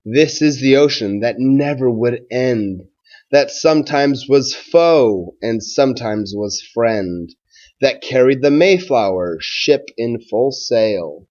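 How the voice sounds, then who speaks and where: Slow-paced man reading aloud to children. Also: mid-range voice, lively intonation, darkish tone, dull audio. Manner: measured, simple explanations addressed to children. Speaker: schoolteacher reading aloud to pupils. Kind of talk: children's read-aloud and talk to pupils.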